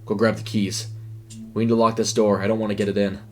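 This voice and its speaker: A man voicing a spooked, hesitant young fast-food worker in a horror story, stammering. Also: on the low side, flat delivery, close to an everyday voice. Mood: alarmed, urgent.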